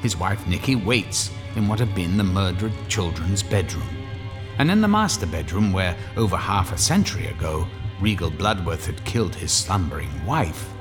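An actor playing an ominous storyteller, narrating a horror drama in a suspenseful, deliberate manner. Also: male voice, low-pitched, lively intonation, over music. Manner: suspenseful, deliberate, drops toward whispers.

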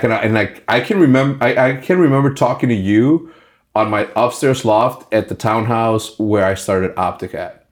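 A man hosting a podcast interview, energetic and rambling, restarting his sentences often. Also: fairly low pitch. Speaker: podcast host.

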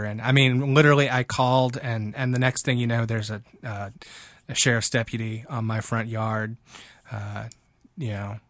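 Close, dry-sounding man hosting, halting delivery. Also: medium pitch, a bit bright, dry recording. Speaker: podcast host. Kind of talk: casual chat.

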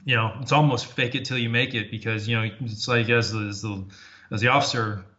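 Reflective man, bright but dull-sounding audio. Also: medium pitch, fairly even intonation, fairly quick. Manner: reflective, many fillers and restarts. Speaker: podcast guest, former Army Ranger officer. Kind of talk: casual interview talk.

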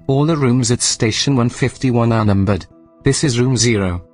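Man acting as male artificial mind, mid-pitched, unflappable, formal, deadpan, audio drama, music underneath. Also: moderate pace, lightly acted.

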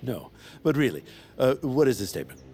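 Pompous, animated senator. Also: male voice, medium pitch, a little fast, in a large hall, faint music behind. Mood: smug mockery. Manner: oratorical, needling, pompous. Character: smug, scheming senator, mocking political rival. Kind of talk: audio fiction acting.

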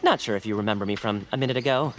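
A woman voicing a genteel, excitable, eager-to-please character in a comedy audio drama, with fussy, flowery phrasing. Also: a bass voice, very fast.

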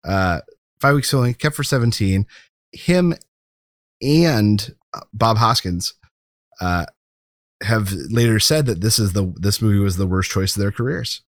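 A man's voice: on the low side, expressive, a little slow, pauses often, no room sound, clean studio sound. A man hosting a podcast, chatting casually about films and leaning on key words in an informal way. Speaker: podcast host. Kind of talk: casual film discussion.

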